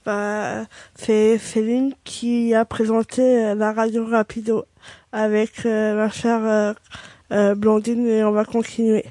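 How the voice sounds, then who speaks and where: Flat, halting woman radio host. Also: on the high side, dry recording. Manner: halting, restarting sentences. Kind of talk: amateur radio presenting.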